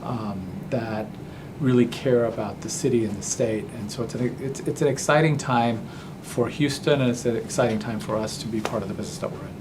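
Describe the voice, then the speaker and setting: A man's voice: medium pitch, brisk. Friendly man guest, noisy recording. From Pakistan. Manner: friendly, repeats words at starts of phrases. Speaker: interview guest, business founder. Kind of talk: casual interview answers.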